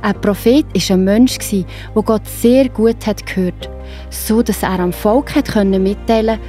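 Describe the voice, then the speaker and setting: A woman with a Swiss accent narrating a story for children in a simple, expressive way. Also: medium pitch, a little slow, soft background music.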